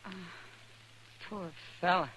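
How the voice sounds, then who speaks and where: A woman's voice: slow pace, a bit bright. Easygoing frontier saloon woman, noisy recording. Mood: sympathetic. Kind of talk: 1950s radio western acting. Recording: noisy old radio recording.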